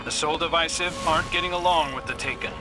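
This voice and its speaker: A man's voice: on the high side, quick pace, close to an everyday voice. A man playing an alert, practical scout in a video game, speaking in a plain, matter-of-fact way. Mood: focused, thoughtful. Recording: loud music underneath.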